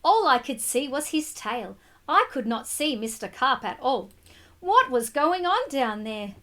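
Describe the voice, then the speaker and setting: Bright, high-pitched woman reading to children. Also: a little slow. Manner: expressive, addresses children directly. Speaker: school storytime reader. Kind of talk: picture book read-aloud for children.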